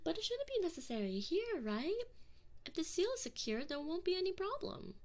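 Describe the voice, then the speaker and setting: A woman's voice: very high voice, big pitch swings. A woman playing a sadistic, mock-polite alien enforcer in an ASMR roleplay, taunting sweetly and possessively into a close binaural microphone. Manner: slow, mock-sweet, taunting, often dropping into breathy whispers.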